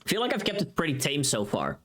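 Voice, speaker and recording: A woman hosting a casual livestream, chatting with viewers in a playful, teasing, sweary and expressive way. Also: sits low, fast. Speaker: livestream host.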